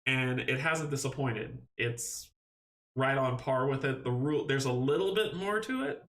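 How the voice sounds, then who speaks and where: A man's voice: mid-range voice, normal speed, a bit bright. A young man from Guyana, hosting a casual live stream and answering viewers' questions in an upbeat, rambling way that often restarts its sentences. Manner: rambling, self-correcting, upbeat.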